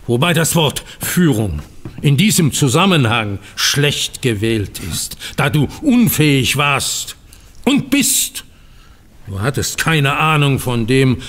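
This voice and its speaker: An actor playing a bitter, dignified older bank founder in a German audio drama, speaking formally with biting sarcasm. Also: male voice, a little high, a bit bright, long reverb tail, exaggerated voice. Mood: scornful.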